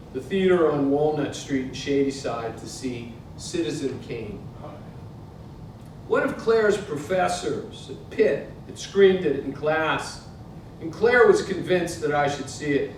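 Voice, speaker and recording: A man in his sixties with an American accent, giving a literary reading to a live audience. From Pittsburgh, United States. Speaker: author reading from his book. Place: indoor museum event space. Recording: very echoey hall, distant mic, noisy rumble.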